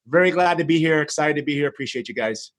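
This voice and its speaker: A man's voice: on the high side, fast talker, fairly bright tone. A man born in Greece whose first language is Greek, chatting as a podcast guest and often repeating words as he starts a thought. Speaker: podcast guest, marketing agency founder. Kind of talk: casual chat.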